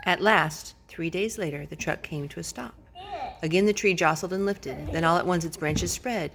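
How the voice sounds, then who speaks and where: A woman's voice: on the low side, moderate pace, fairly bright tone. Woman reading a storybook aloud, faint noise. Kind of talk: expressive children's storybook reading.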